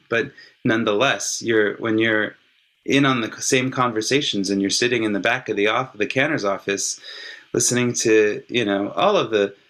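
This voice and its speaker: A man whose first language is Hebrew, speaking as an interview guest with a somewhat low voice. He talks in a reflective, measured way, weighing each thought as he tells his story. The tone is a relaxed, casual conversation. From California.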